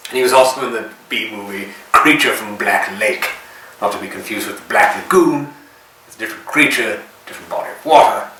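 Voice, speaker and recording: A man's voice: on the low side, a little fast, sharp tone. A man hosting a B-movie show, casually presenting film trivia with a dry, tongue-in-cheek manner. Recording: very echoey, distant from mic, faint noise.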